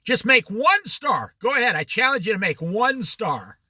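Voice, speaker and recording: A man's voice: sits high, sing-song, moderate pace, noisy background. A man leading an informal Bible study, throwing out challenging rhetorical questions and jokey asides.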